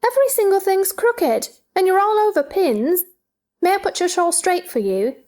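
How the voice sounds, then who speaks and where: A woman's voice: very high voice, animated, moderate pace, a little mellow. A woman playing a polite, puzzled, sensible young girl in a theatrical children's storybook drama, earnest and questioning.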